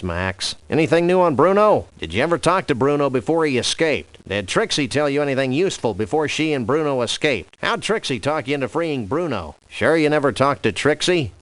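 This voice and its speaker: A man voicing a laid-back cartoon dog detective in a video game, delivering scripted lines in a deadpan, dryly wordy manner. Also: mid-range voice, dry recording. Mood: probing, dry. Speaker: freelance police detective.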